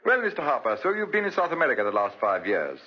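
An actor playing a polite, businesslike lawyer, courteous and a little fussy, in 1940s radio style. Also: male voice, mid-range voice, wildly swooping pitch, fast, slightly rough. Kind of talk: 1940s radio drama acting. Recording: muffled 1940s radio recording.

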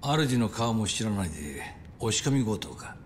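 An actor playing a composed middle-aged yakuza boss in a dramatic game scene, measured, grave and authoritative. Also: male voice, on the low side, big pitch swings, slight background noise. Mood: stern, grave. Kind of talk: dramatic video game acting in Japanese. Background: music.

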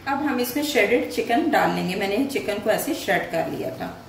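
A woman's voice: mid-range voice, lively intonation, gravelly. A woman, hosting a home cooking channel and narrating a recipe step by step in a friendly, plain way. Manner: friendly, explains each step plainly with small pauses. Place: home kitchen. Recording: echoey room, voice a bit distant, faint noise.

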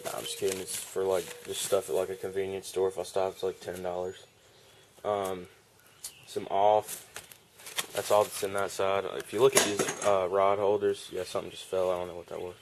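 Young man, laid-back rambling vlogger, low flat delivery, handheld camera mic. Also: moderate pace, background noise. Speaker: fishing vlogger. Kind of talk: casual show-and-tell vlog. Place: outdoor wooden porch.

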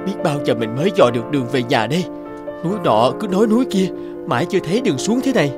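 A man's voice: a little high, very animated, quick pace, loud music behind the voice. Man playing a wondering young peasant fellow. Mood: worried. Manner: exclamatory, muttering, wondering. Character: simple, greedy, easily amazed young peasant man. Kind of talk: children's animated fairy tale.

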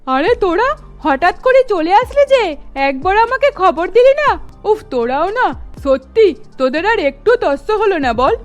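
A woman's voice: very high voice, big pitch swings, a little slow, full character voice. A woman playing a middle-aged mother in a cartoon story, scolding in a firm, exasperated way, with music underneath. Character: scolding middle-aged mother defending her daughter-in-law.

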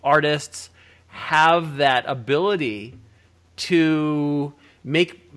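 A man's voice: fairly high pitch, very slow, a bit bright. An older man hosting a video call interview, musing at length between his questions. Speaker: interviewer host. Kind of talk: casual interview chat. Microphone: laptop video call.

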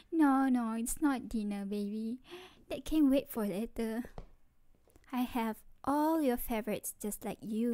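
Woman acting as a sweet, high-voiced doting girlfriend. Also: warm tone, no room sound, lightly acted. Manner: cutesy, giggly, tender. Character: sweet, doting young girlfriend, playful and affectionate. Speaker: voice actress in girlfriend roleplay. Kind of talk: ASMR roleplay, scripted acting.

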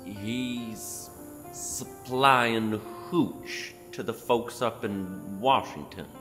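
A man playing a frightened, reluctant informant in an audio drama, speaking in a halting, hesitant way. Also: fairly low pitch. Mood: reluctant, uneasy. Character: frightened, reluctant male informant pleading for his wife. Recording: long reverberant hall sound, faint music behind.

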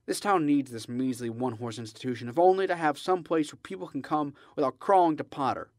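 An actor playing an earnest, impassioned young small-town man in a radio drama, stammering and halting with emphatic delivery. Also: a little high, a little mellow, no echo, studio quality, lightly acted. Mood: indignant, impassioned.

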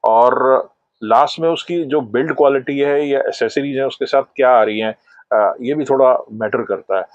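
A man's voice: medium pitch, normal speed, no room sound, highs cut off. A middle-aged man in a shop, explaining binoculars in a product review, hesitating often with "uh" fillers. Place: binocular shop, indoors, shelves of boxes. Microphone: lapel mic.

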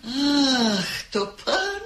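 A woman's voice: high voice, very animated, drawn-out words, exaggerated voice. An actress playing a fretful lady of the manor in a radio drama. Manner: fretful, exclamatory. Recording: faint hiss on old radio tape.

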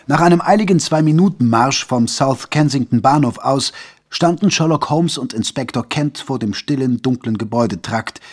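A man with a husky voice narrating a scripted German audio drama. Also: medium pitch.

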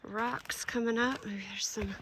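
A woman in her forties on a snowy forest trail outdoors, filming a casual walking vlog on a phone at arm's length and talking in a soft, awed voice that often drops to a whisper. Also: on the high side, steady tone, unhurried, background noise. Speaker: solo winter camper and vlogger.

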